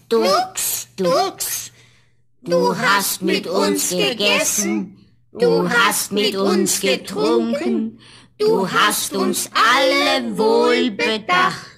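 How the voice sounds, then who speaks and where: Slow, swooping talking farm animals. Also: female voice, fairly high pitch, heavily acted. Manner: slow, drawn-out rhyming chant with clucking. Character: talking farm animals, hen, rooster and cow, chanting a verse. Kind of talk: 1970s German fairy-tale radio play.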